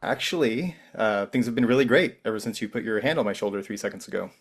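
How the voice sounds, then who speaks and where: A man reading the part of a weary, awkward, evasive man in a table read of a TV script, in terse deadpan replies. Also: on the low side, fast talker, husky. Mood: dry, sarcastic.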